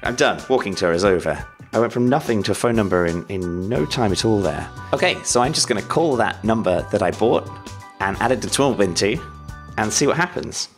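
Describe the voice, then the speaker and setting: A man in his thirties casually walks through code on a stream. His voice sits low, close on the mic, with faint music behind. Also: lively intonation, a little fast. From Australia. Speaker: developer and live streamer. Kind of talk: live coding walkthrough, casual. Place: home office room. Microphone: studio mic on boom arm, close.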